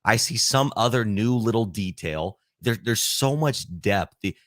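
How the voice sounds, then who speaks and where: A man's voice: fairly low pitch, animated, unhurried, no echo, studio quality. A man hosting a casual podcast interview, enthusiastic and addressing viewers directly. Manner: enthusiastic, praising, talks to viewers. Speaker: podcast host.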